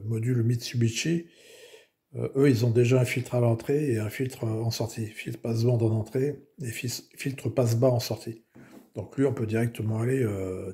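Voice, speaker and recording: Hoarse man with gravelly fry. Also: mid-range voice, dark tone. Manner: hesitant, many fillers and restarts. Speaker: amateur radio builder. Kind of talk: casual technical show-and-tell.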